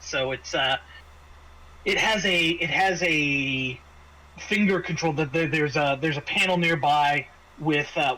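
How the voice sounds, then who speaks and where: A man acting as game master, improvising scene descriptions in halting phrases with frequent repeats. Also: on the high side, bright, forceful tone, low-quality recording. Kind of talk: improvised narration of a tabletop game. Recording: faint background noise.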